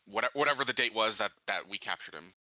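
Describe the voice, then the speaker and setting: A man takes part in a tabletop roleplaying game, improvising his questions as he goes. His voice is fairly low yet very bright and forceful, recorded dry. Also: animated, fast, dry recording, poor audio.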